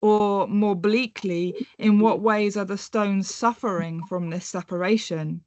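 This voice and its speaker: A woman in her thirties giving an academic conference presentation in a measured, reflective way, slowing down to pose questions. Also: medium pitch, steady tone, darkish tone, no room sound. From born in England, raised in North America and Europe. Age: 30s.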